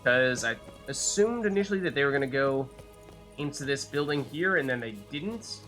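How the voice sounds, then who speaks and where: Bright-voiced man, chatty game streamer. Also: medium pitch, lively intonation, normal speed, faint music behind. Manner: chatty, thinking aloud, musing. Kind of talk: casual live let's play commentary.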